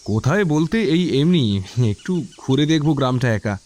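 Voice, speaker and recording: A man's voice: fairly high pitch, animated, normal speed, darkish tone, natural voice. An actor playing a troubled but determined young man in an audio drama, with a hesitant, brooding way of talking. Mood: evasive. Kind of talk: Bengali audio drama acting.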